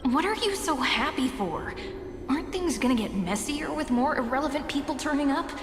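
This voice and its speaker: Worried game henchwoman, high voice, querulous, echoing, faint music. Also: animated, normal speed. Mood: irritated, worried. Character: irritable, worried female accomplice. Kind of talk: dramatic video game acting. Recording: echoey hall reverb, faint music.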